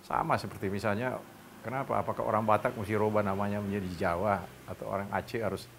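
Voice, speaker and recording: Older male interviewee, low voice, slow and hesitant, faint room noise. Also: flat delivery. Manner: deliberate, hesitant, acts out quoted dialogue. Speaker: interviewee, former newspaperman. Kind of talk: reminiscing interview.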